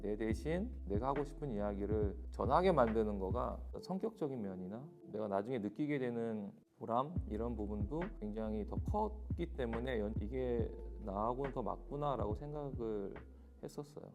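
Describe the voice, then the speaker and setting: A man's voice: mid-range voice, animated, normal speed. A man from South Korea, a stage director, giving reflective interview answers in long, explanatory sentences. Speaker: theatre and musical director, interviewee.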